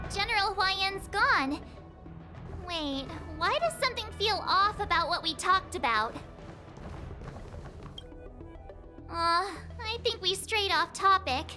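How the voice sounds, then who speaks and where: A bubbly, naive teenage girl from a video game. Her voice is very high and girlish, and she chatters earnestly over clearly audible music. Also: very animated, unhurried, sharp tone, full character voice. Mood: puzzled. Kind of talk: game cutscene voice acting.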